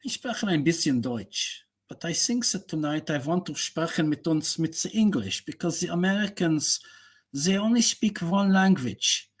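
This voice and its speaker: A man voicing a boastful, bossy male German professor, rambling slowly in a mock German accent on a comic live stream. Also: fairly high pitch, expressive, husky. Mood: pompous. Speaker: guest.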